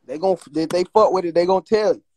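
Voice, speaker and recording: A young man hosting a phone livestream from a small indoor room, talking in brash, swearing, quick banter. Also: high-pitched, dark tone. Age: young adult. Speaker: livestream host. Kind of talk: casual livestream chat. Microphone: phone held close to the face.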